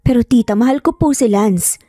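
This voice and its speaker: A woman's voice: a little high, a little fast, mellow, no echo, clean studio sound, lightly acted. A woman voicing a timid young waitress in a story, polite, nervous and imploring. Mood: pleading.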